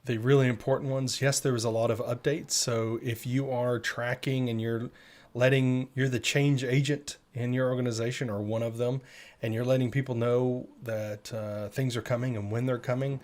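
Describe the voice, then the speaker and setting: A man with an average-pitched voice co-hosts a live tech show. He talks in a casual, conversational style, addressing his audience directly as he explains updates. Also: flat delivery, normal speed, a little mellow.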